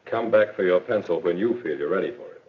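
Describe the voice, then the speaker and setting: Deep-voiced, patient 1940s school authority figure. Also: male voice, breathy edge. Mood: earnest, lecturing. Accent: American. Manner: measured, didactic. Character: calm, patient male school authority figure, gently lecturing. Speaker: school principal or teacher. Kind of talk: 1940s educational film acting. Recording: dull, lo-fi 1940s film soundtrack.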